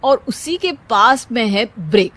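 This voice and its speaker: Lady doing a teasing young hubby in a cartoon story, slow talk. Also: on the high side, very animated, fairly bright tone, exaggerated voice. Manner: teasing, joking.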